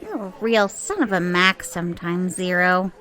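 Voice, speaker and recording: An actress playing a lively, teasing detective's sidekick in an audio drama, chatty and animated. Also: female voice, fairly low pitch, normal speed, some chatter behind. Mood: exasperated.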